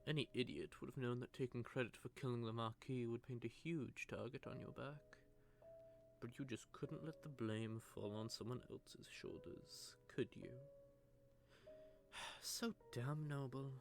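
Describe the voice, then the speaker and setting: A smug, sardonic male vampire, acted by a man in an audio roleplay. His voice sits at a middling male pitch and is thick with gravelly fry. He drawls teasing taunts straight at the listener, with music playing underneath. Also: unhurried. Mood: teasing, gloating. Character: smug, sardonic male vampire gloating over a captive hunter. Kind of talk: scripted audio roleplay monologue addressed to the listener.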